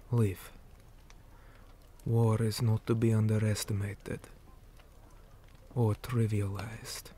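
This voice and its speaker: A man playing a weary, stern orc commander in an ASMR roleplay, speaking in a hushed, deliberate manner that often drops toward a whisper. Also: fairly low pitch, a little hiss or hum. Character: weary male orc commander, stern, measured, dutiful soldier. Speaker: interrogator in a roleplay.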